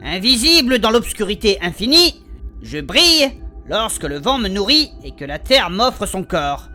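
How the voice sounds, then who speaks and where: A man's voice: high-pitched, big pitch swings, normal speed, sharp tone, heavily acted. A man playing a good-natured, easily confused adventurer in a French fantasy comedy audio drama, speaking in a hesitant, colloquial way. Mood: reading aloud. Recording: voice over background music.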